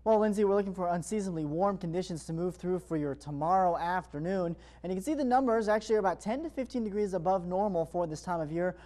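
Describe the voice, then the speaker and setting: An American man delivers a morning TV weather forecast. He talks quickly and fluently in an upbeat, polished presenter's style, moving briskly from one point to the next. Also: sits high, warm tone. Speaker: television meteorologist.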